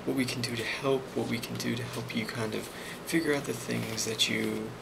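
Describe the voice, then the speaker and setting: A man speaking softly in an ASMR role play as a boss, hesitant and reassuring, with frequent pauses. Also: mid-range voice, fairly even intonation, gravelly, heavy background noise. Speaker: ASMR role-player playing a boss giving a review.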